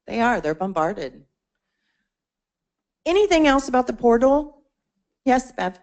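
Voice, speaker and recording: A woman's voice: on the high side, big pitch swings, a little slow, darkish tone. A woman presenting to a membership meeting, explaining a support portal conversationally, with fillers and restarts. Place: meeting room.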